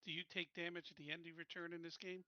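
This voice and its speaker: A man's voice: a little high, little pitch movement, fast, no echo. A man playing a tabletop game, asking and chatting casually, recorded close and a little lo-fi. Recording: slightly dull, lo-fi voice chat.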